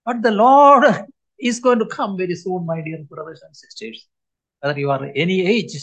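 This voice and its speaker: A man in his seventies preaching a sermon, his voice sitting high for a man. He speaks earnestly and with emphasis, pausing often between phrases. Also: fairly bright tone. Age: 70s.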